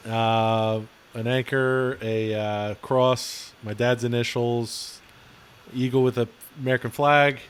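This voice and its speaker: A man co-hosting a podcast in relaxed casual chat, halting and hesitant with fillers. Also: mid-range voice, clean tone. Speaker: podcast co-host.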